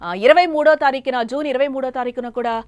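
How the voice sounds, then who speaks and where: Lady news anchor, high voice, breaking news, emphatic and repeats stuff, really dry studio sound. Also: brisk, no echo. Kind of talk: breaking news reporting, partly improvised.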